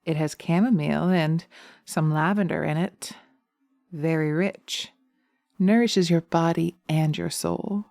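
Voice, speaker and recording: A woman playing a teasing, sweetly sinister witch in a hypnosis roleplay, coaxing slowly and soothingly into a close binaural mic, often dropping to a whisper. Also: sits low, fairly even intonation, vocal fry, a little mellow, no room sound. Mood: coaxing, hypnotic. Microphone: close binaural microphone.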